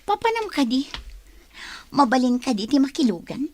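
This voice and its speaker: A woman's voice: high-pitched, very animated, moderate pace, darkish tone, no room sound. A woman playing a coaxing, easily miffed young job seeker in an audio drama, with a Filipino Ilocano accent. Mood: playful, coaxing.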